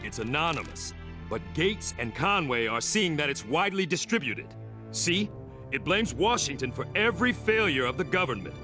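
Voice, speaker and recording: An actor voicing a calm, thoughtful man in a cartoon, speaking in a measured way. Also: on the high side. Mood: wary. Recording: music behind.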